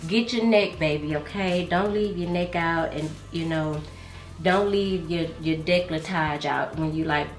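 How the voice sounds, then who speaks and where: A woman with a slightly congested, nasal voice talks her viewers through her makeup in a chatty, confiding way, calling them baby and girl. Her pitch sits fairly low. The room is echoey, with faint music playing underneath. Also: unhurried. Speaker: beauty and fragrance vlogger. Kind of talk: casual get-ready-with-me chat. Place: room at home, doing makeup.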